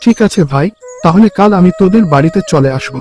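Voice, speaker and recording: A man's voice: high-pitched, lively intonation, quick pace, darkish tone, soft background music. A man playing a poor young day labourer in a cartoon, dutiful and worried, speaking earnestly and respectfully. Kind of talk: Bengali cartoon story dialogue.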